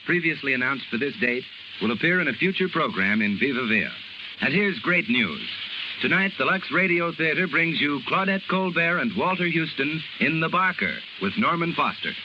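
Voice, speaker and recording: A man of medium pitch makes a 1930s radio announcement with very lively intonation. The sound is muffled and clearly noisy. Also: moderate pace.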